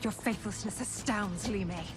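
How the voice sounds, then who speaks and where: A woman's voice: mid-range voice, moderate pace, natural voice. A young woman playing a defiant, dutiful warrior princess in a video game, formal and intense. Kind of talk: dramatic video game cutscene acting. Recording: very loud music behind. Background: cinematic game music.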